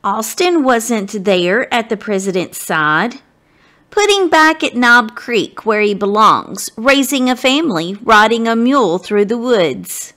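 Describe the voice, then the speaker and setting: A woman reading a children's story aloud as a guest reader, expressive and dramatic, speaking directly to her listeners. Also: fairly high pitch, measured pace.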